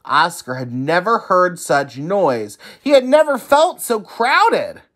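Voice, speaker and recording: Male children's story reader: very lively pitch swings, unhurried, emphatic delivery. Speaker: teacher reading to young children. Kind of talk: expressive children's read-aloud.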